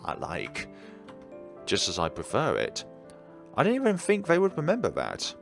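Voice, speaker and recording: A man's voice: mid-range voice, huge pitch swings, moderate pace, gravelly, music in the background. A man casually commenting on a visual novel as he plays, chatty and often laughing. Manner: chatty, laughing, reacting to the story. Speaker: let's play host.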